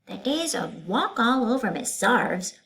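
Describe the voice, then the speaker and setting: A long-suffering schoolteacher from a children's storybook, played by a woman reading aloud. She is aggrieved and emphatic, pushing her voice well up high as she complains. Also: big pitch swings, unhurried, a bit bright, full character voice. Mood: hurt, aggrieved.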